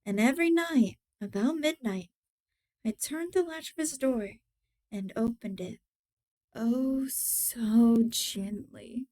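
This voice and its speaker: A mad, obsessive murderer acted out by a woman confesses in a gothic horror story. The voice is smooth and fairly high, with very slow, deliberate phrasing that shifts from sly hush to theatrical emphasis. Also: low-quality recording. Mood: stealthy, sinister. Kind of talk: dramatic horror story reading.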